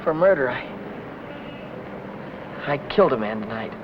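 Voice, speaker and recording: An actor playing a desperate young American mechanic on the run, curt and tense, in 1950s film noir style. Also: male voice, medium pitch, animated, normal speed, lightly acted. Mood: despairing. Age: young adult. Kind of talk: 1950s film noir acting, with voice-over narration. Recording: noisy muffled 1950s film soundtrack.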